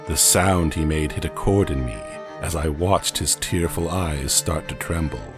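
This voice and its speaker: A man's voice: extremely low pitch, music in the background. A man voicing a solemn angel who recounts an ancient tragedy in a grave, theatrical storytelling manner for an audio comic. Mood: sorrowful, solemn. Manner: grave, portentous, theatrical, dramatic pauses. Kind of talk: dramatic scripted storytelling, audio comic book.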